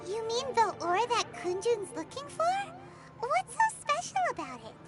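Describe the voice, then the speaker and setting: A woman voicing a small, curious, excitable childlike fairy companion in a video game, with a squeaky voice. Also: normal speed, over music, full character voice. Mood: curious, puzzled. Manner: refers to self in third person, exclaiming. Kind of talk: animated video game voice acting.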